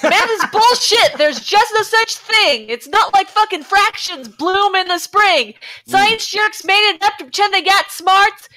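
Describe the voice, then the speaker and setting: A woman's voice: extremely high pitch, animated, a little slow, hardly any pauses, pushed and bright. A woman doing a casual comedic reading on a podcast, brash and sweary in manner. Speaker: podcast panelist reading parody songs.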